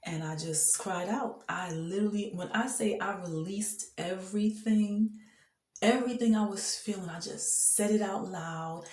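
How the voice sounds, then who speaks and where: A woman's voice: medium pitch, a little slow, a little mellow, echoey room. A woman telling her faith testimony in an earnest, emphatic way, often laughing between thoughts. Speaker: person sharing a faith testimony. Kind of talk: heartfelt personal testimony, casual.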